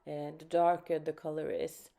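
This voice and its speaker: Woman, Swedish accent, mellow, low voice, soft-spoken art teacher. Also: flat delivery, moderate pace, vocal fry. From Sweden. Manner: soft-spoken, thinking aloud with fillers. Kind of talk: unscripted painting tutorial.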